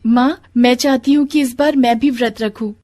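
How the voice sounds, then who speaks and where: Woman voicing a high, earnest little daughter. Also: fairly even intonation, moderate pace, mellow, lightly acted. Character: devoted young daughter, a small girl, caring and earnest. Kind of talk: devotional moral storybook dialogue.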